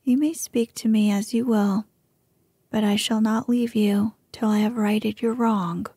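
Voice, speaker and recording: A brave young knight speaks with quiet resolve in a mellow, breathy whisper, voiced by a woman narrator. The pace is slow and the sound dry and close. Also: medium pitch, fairly even intonation, no room sound. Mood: resolute.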